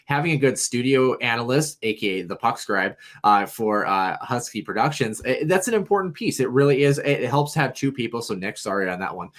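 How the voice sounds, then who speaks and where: A man's voice: brisk, few pauses. A man co-hosting a podcast, with a whiny, nasal voice, rambling in casual sports chat. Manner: rambling, animated, jumps in pitch. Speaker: podcast co-host.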